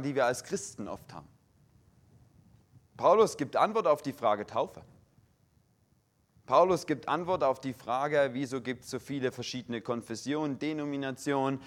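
A young man from Saxony giving a sermon in a full church hall in standard German with a faint Saxon tinge, slow and measured, stressing single words. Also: medium pitch. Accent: standard German with a slight Saxon tinge. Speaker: guest preacher.

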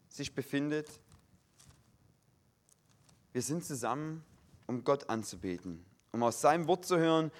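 A young man from Saxony giving a sermon in a full church hall in standard German with a faint Saxon tinge, slow and measured, stressing single words. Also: medium pitch. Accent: standard German with a slight Saxon tinge. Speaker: guest preacher.

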